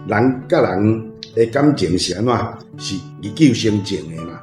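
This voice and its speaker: Male panel guest, Taiwanese accent, fairly low voice, colloquial opinions in a roomy space. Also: lively intonation, moderate pace, darkish tone. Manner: colloquial, frequent tag particles. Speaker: panel guest, reading club member. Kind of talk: informal commentary on a story. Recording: roomy, faint music behind. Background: faint guitar music.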